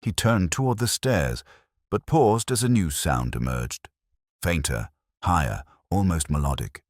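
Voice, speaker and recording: A man narrating a detective story in a dramatic, measured and suspenseful way. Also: low voice, animated, no echo, clean studio sound. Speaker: audiobook narrator.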